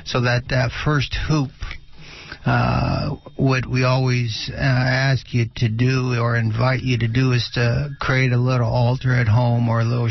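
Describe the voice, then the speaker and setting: A middle-aged or older man with a creaky, gravelly voice teaches about spiritual healing in conversation. His delivery stays fairly even in pitch and is halting, with many pauses mid-sentence. The radio recording is slightly dull. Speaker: spiritual healer and radio show host.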